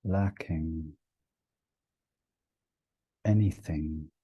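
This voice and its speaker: A man leading a guided meditation in a gentle, hushed manner, leaving long pauses between short phrases. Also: rumbling low, breathy edge, dry recording.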